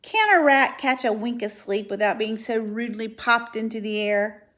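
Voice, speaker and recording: A lazy, self-pitying rat grumbles and mocks in a loud, sarcastic drawl, as a woman reader puts him on. He sounds sulky and put-upon, with a higher voice than you might expect. This is a storybook read-aloud. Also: moderate pace, natural voice. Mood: grumpy.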